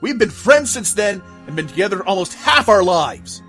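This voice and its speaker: A man's voice: high voice, big pitch swings, normal speed, a bit bright. A man voicing an aggrieved, lovestruck unicorn stallion who defies royal law, formal and impassioned, in a fan audio drama. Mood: indignant. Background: music.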